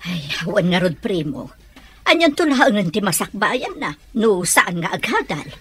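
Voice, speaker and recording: A woman's voice: medium pitch, big pitch swings, normal speed, a bit bright, husky, soft background music. A woman playing a worried, earnest mother in a radio drama. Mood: resigned. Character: worried, earnest mother urging her child to study.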